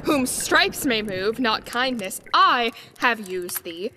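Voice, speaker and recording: A woman's voice: a little high, expressive, slow pace, sharp tone, exaggerated voice. A woman playing a stern, threatening sorcerer parent, imperious and scornful, in a Shakespearean radio play. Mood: outraged. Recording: reverberant hall sound, faint noise.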